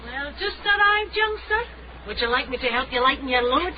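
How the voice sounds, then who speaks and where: A high-pitched, saucy Scottish street woman in a radio drama. She is sly and teasing, on a dull recording with noise. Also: expressive, fairly quick. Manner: saucy, insinuating.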